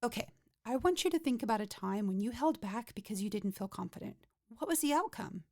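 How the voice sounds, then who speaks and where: A woman's voice: a little high, lively intonation, fairly quick, mellow, no echo, studio quality. A woman hosting her own podcast, talking through a scripted episode in an upbeat, motivational and conversational way. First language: not Swedish. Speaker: podcast host.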